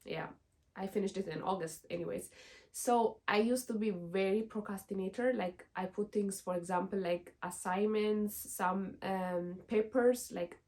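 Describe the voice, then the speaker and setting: A woman's voice: medium pitch, a little slow, darkish tone. A young woman from Ethiopia, speaking English as an in an earnest, encouraging self-help vlog, full of "like" and "you know". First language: Amharic. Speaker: vlogger.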